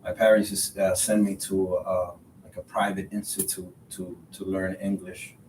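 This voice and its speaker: A man in his thirties from the Republic, a Spanish speaker, chatting in an interview in a halting way, repeating words. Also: level tone, darkish tone. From Dominican Republic. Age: 30s. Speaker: podcast guest, realtor. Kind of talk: casual interview conversation. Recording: roomy echo, distant mic, faint rumbling noise.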